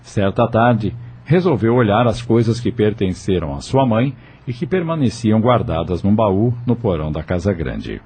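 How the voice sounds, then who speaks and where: A man's voice: lowish voice, very animated, normal speed, hardly any pauses, darkish tone, slightly rough. A man narrating a dramatic audio novel as a scripted storyteller. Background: background music.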